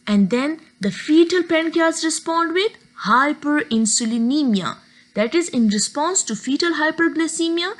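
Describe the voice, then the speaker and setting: A woman teaches a medical lecture, walking through each point step by step. Her voice sits high and moves a lot, rising and falling through the sentences. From Pakistan. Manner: steady explaining, step by step.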